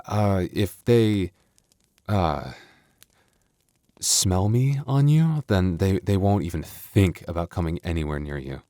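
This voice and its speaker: Man playing a very deep-voiced, gentle werewolf boyfriend. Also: expressive, takes it slowly, no room sound, studio quality. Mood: bashful, affectionate. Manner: soft, earnest, hesitant, stammering. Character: gentle young male werewolf boyfriend with regretful past. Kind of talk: intimate ASMR boyfriend roleplay.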